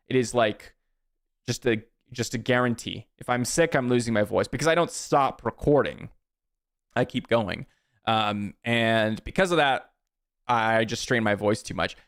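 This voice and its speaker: A man in his thirties streaming a card game, casually chatting with viewers and thinking aloud about his plays. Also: medium pitch. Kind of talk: casual live-stream chat while playing.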